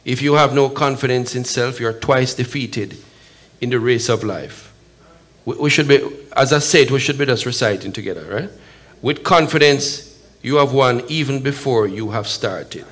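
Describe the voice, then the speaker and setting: A middle-aged man with a Jamaican accent giving a measured, emphatic public speech at a podium microphone in an event hall. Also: mid-range voice, fairly bright tone. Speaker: consul general, guest speaker at podium. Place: event hall, at a podium before a seated audience.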